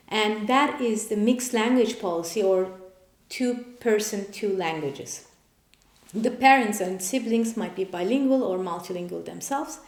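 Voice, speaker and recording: Measured woman explaining in a roomy echo. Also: mid-range voice, darkish tone. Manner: measured, explanatory, listing points one by one. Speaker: parent and channel host. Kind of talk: scripted explainer. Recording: roomy echoey room.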